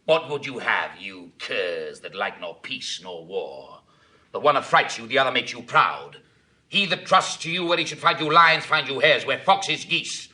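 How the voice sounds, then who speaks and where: A man's voice: mid-range voice, expressive, moderate pace, bright, forceful tone. An actor playing a proud, contemptuous Roman warrior nobleman, scathing and declamatory in a Shakespearean drama. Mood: scornful fury. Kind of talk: classical Shakespearean stage acting.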